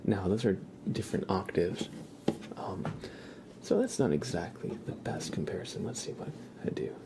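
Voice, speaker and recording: A soft-spoken man chatting casually on an ASMR livestream, drifting in and out of a whisper. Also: low-pitched, expressive, normal speed, over loud music.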